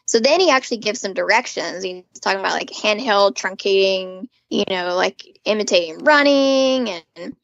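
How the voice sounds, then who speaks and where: A woman's voice: medium pitch, animated, moderate pace, gravelly, hardly any pauses, fairly bright tone, dry recording, dull audio. A woman co-hosting a podcast, recapping casually as an enthusiastic fan with rambling asides. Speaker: podcast co-host. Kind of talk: casual chatty episode recap.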